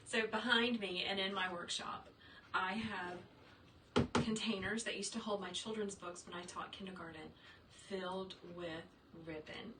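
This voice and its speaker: A woman's voice: mid-range voice, steady tone, unhurried. A woman chatting upbeat and casual as she teaches wreath making in her home workshop, talking straight to viewers and answering their comments. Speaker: wreath maker teaching a craft. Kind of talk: casual livestream tutorial reading viewer comments. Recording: roomy, distant sound with faint room noise.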